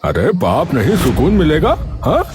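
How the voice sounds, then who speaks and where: Man acting blustering village man, wildly swooping pitch. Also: huge pitch swings, moderate pace, a little mellow. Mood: grumbling, indignant. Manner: blustering, grumbling, theatrical. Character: blustering, self-important village men in cartoon squabbles. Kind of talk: animated Hindi cartoon dialogue. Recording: music behind the voice.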